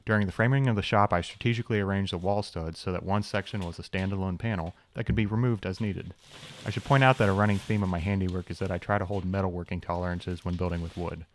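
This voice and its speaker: A young man with a low voice delivers a quick, scripted voice-over tour of his workshop. His humor is dry and wry, full of self-deprecating asides. A faint hiss sits under the recording. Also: steady tone. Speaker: channel host, machinist.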